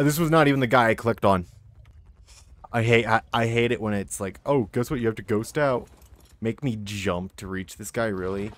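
Low-voiced gamer man, voice going hoarse. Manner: mutters to himself, with sudden loud frustrated outbursts. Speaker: gaming channel host. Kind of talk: casual gameplay commentary.

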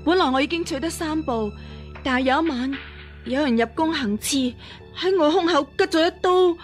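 Woman acting scheming cowed empress, very high voice, stammering, obsequious, Cantonese radio drama, faint music. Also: normal speed, natural voice. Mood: nervous, submissive. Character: scheming false empress, cowed, fawning and evasive.

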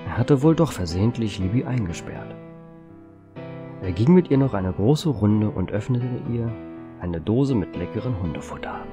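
A man's voice: lowish voice, expressive, normal speed, music in the background. A man narrating a scripted story as its storyteller. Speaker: narrator and author.

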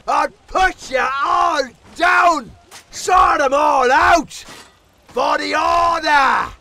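Forceful, gravelly Irish mercenary. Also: male voice, very high voice, slight background noise, heavily acted. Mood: battle fury. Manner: colourful, colloquial, theatrical delivery. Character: roguish male medieval mercenary sellsword, cocky and wry. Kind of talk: video game battle barks and voice lines.

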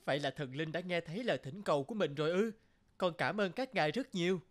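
A man's voice: on the high side, lively intonation, fast, lightly acted. A humble young woodcutter from a cartoon folktale , played by a man. He speaks earnestly and politely, like someone used to hard work and modest means. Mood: joyful, grateful.

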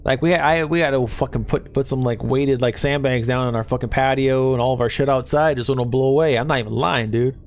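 A man's voice: medium pitch, fairly even intonation, fast, few pauses. A man from Arkansas in his thirties with a light Southern accent, streaming a game from a home gaming room and chatting loosely with viewers, swearing often. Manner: loose, profane, laid-back banter. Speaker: Twitch streamer. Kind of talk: casual live-stream chat with chat.

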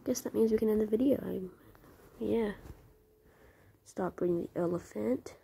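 A woman with a croaky voice, chatting in half-whispers while commenting on a mobile game she plays. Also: medium pitch, normal speed, mellow, slight background noise. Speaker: gameplay commentator.

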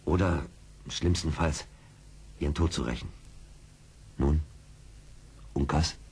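An actor playing a seasoned, brave frontier scout, commanding and deliberate, in a radio play. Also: male voice, a bass voice, darkish tone, husky, heavily acted. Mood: grave, reasoning. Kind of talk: 1970s German radio play acting.

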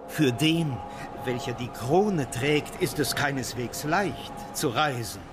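An actor playing a reverent, florid court chronicler, narrating solemnly and deliberately in a radio drama. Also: male voice, medium pitch, background noise, close to an everyday voice.

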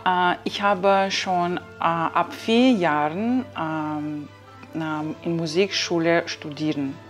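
A woman's voice: lowish voice, takes it slowly, faint music behind. A woman, answering interview questions in a hesitant way, searching for words, on a lapel mic in an ornate room. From Kazakhstan. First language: Kazakh. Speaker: opera singer, guest. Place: ornate indoor reception room.

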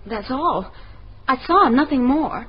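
A woman's voice: high-pitched, expressive, slow pace. An actress playing a strong-willed young woman in a radio mystery, direct, articulate and insistent. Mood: earnest, defiant. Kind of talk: radio mystery drama acting. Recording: muffled radio recording, faint noise.

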